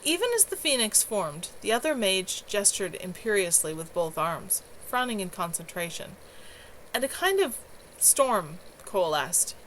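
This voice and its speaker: A woman's voice: medium pitch, very animated, measured pace, fairly bright tone, low-quality recording. Woman reading fiction over noticeable background noise. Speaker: author reading own novel aloud. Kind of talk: narrated fantasy fiction reading, amateur. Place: at home. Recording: clear background noise, home livestream.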